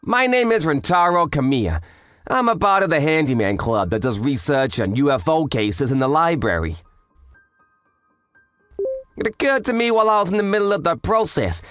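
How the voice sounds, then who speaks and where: A man's voice: fairly high pitch, sing-song, moderate pace, a bit bright. A man voicing a curious, easily astonished student from a handyman club, narrating casually and expressively in an anime-style dub. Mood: puzzled, explaining. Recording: voice over background music.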